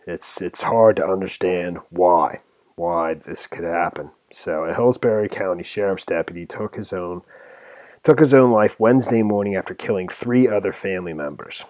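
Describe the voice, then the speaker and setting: A man giving informal commentary on a news story, part reading and part ad-libbing, halting and rambling as he stumbles over words. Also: deep voice, a little mellow, no room sound.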